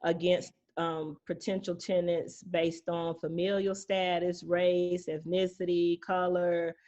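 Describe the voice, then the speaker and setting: A woman speaking as a property manager, explaining things informally and illustrating points with anecdotes and imagined exchanges. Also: on the low side.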